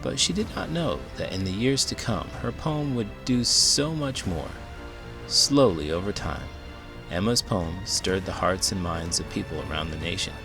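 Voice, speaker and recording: Man, deep gravelly voice, expressive storybook read-aloud, emphatic phrasing, music behind. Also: normal speed. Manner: dramatic, emphatic delivery. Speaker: children's book read-aloud host.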